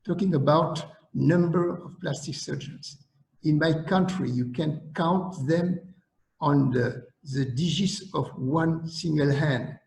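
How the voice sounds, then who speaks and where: A man with a Senegalese accent giving an online lecture on surgery, speaking deliberately and halting with fillers. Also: on the high side, gravelly, a little mellow, roomy sound, rough recording.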